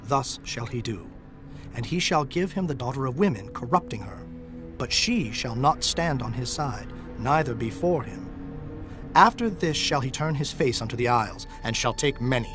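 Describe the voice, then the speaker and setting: A man's voice: medium pitch, expressive, moderate pace, fairly bright tone, close to an everyday voice. A man giving a dramatized reading of old King James scripture as narrator, solemn and declamatory. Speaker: Bible narrator. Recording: music under the voice. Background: music.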